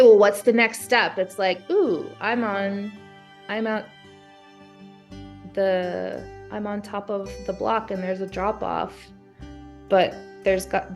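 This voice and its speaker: A woman in a casual brainstorming chat, talking in an animated way and acting out her thoughts as she goes. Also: mid-range voice. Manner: animated, acts out imagined thoughts and exclamations. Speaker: guest being coached through writer's block.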